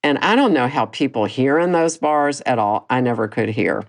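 Woman in her 60s, podcast host, really deep quiet voice, thoughtful, trips and restarts a lot. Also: animated, moderate pace. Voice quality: low voice that does not project. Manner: candid, reflective, with frequent restarts. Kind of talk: personal monologue podcast.

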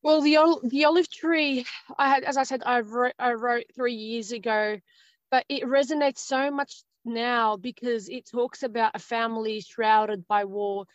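A woman's voice: fairly high pitch, fairly even intonation, unhurried, dry recording. A woman in her thirties with an Australian accent, talking as a guest in a casual interview, rambling and hesitant with many fillers. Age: 30s. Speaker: guest author. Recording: dry, dull lo-fi sound.

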